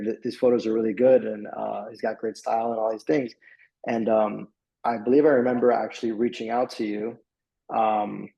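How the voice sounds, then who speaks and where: A young man from Miami talks through a casual interview in a low, dark, gravelly voice. His delivery stays flat and rambling, full of fillers and restarts. The sound is dull and lo-fi. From Miami, USA. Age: young adult. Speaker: client giving a testimonial.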